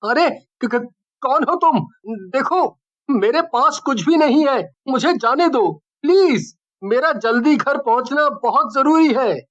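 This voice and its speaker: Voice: sits high, animated, slow, a bit bright, lo-fi sound, heavily acted. A performer voicing a frightened, pleading young man in a dramatic cartoon horror story. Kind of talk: hobby cartoon story acting.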